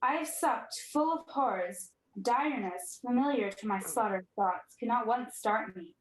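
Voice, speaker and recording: A young woman plays a defiant tyrant king in a Shakespeare radio drama. The voice is fairly high and commanding, declaiming verse in a very echoey room. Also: measured pace, lightly acted. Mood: grim, hardened. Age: young adult. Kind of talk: 1940s radio play Shakespeare acting.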